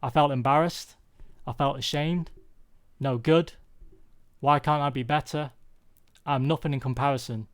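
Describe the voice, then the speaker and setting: A young British man giving a prepared personal talk, earnest and confessional, with deliberate phrasing. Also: mid-range voice, fairly even intonation. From UK, born there.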